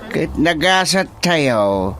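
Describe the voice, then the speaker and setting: A man playing an excitable village chairman in an Ilocano radio comedy, exclaiming often in an Ilocano accent. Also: a little high, slow, exaggerated voice. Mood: chatty.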